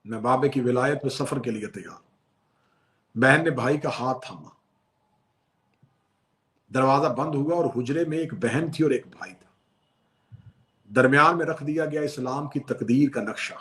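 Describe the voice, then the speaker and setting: A man preaching in a fervent storytelling voice of middling pitch. He builds his phrases in rhythmic repetitions and lets his voice swell suddenly louder at emotional peaks. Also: pauses often. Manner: dramatic, rhythmic delivery with repeated phrases and sudden loud swells. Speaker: preacher narrating a religious elegy. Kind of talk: impassioned sermon storytelling.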